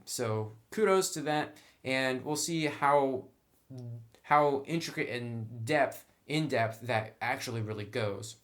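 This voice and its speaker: A man narrating a tabletop game review in an informal, semi-scripted way, with wry asides. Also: medium pitch.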